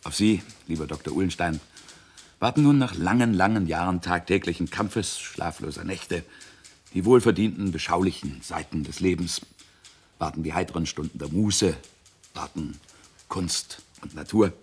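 Formal ceremonial speaker, deep husky voice, solemn, oratorical, radio drama. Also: male voice, moderate pace. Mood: solemn, celebratory. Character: formal male speaker giving a ceremonial congratulatory address. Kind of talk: German radio drama acting.

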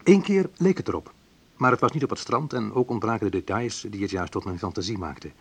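A slightly husky, lowish male voice narrates a radio play as a man looking back on his youth. He is wistful and reflective, telling it in measured phrases with small pauses. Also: expressive, fairly bright tone, no echo, full character voice. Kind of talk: literary first-person radio play narration.

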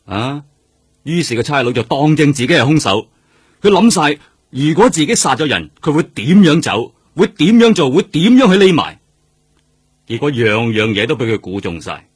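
An actor with a Hong Kong accent playing a seasoned, world-weary detective in a radio drama, speaking emphatically. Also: male voice, a little high, sing-song, normal speed, breathy edge. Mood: intense, agitated. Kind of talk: Cantonese radio drama acting.

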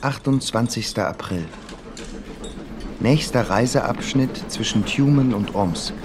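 A man's voice: medium pitch, takes it slowly, over music, close to an everyday voice. A man playing an observant traveller, reading diary entries as narration in a radio play. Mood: reflective.

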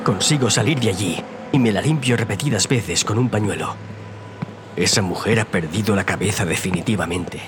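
A man's voice: fairly low pitch, normal speed, noisy background. A man narrating a first-person horror story in a dramatic, suspenseful, theatrical manner. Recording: loud music under the voice. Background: loud music.

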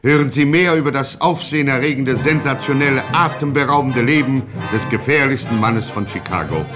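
A man's voice: mid-range voice, brisk, music in the background, natural voice. A man narrating a satirical crime radio serial in a mock-solemn, sensational scripted style. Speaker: narrator and announcer.

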